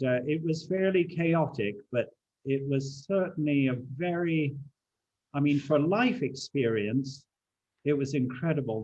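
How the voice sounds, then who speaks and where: A man in his seventies who grew up in China, recalling his life in relaxed talk with many fillers and restarts over a video call. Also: medium pitch, dull audio. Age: 70s. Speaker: guest speaker. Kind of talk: relaxed conversational recollection.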